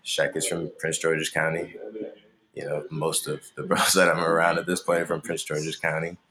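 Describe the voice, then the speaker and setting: A man's voice: a bass voice, monotone, moderate pace, noisy background. A young man from Maryland, a musician, talking casually in an interview in a laid-back, rambling way with lots of fillers. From Maryland, USA.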